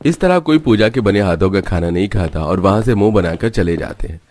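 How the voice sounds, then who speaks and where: A man's voice: low-pitched, animated, brisk, a little mellow, dry recording. A man reading scripted narration for a cartoon story. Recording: music playing behind the voice. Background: background music.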